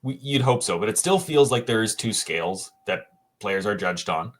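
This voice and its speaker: A man with a fairly low voice talks hockey on a casual podcast. He thinks out loud, pausing on "um" and restarting his sentences, with a dry, self-deprecating streak. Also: animated. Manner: thinks aloud with frequent "um" pauses and self-restarts. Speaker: co-host, hockey writer. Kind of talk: casual sports podcast chat.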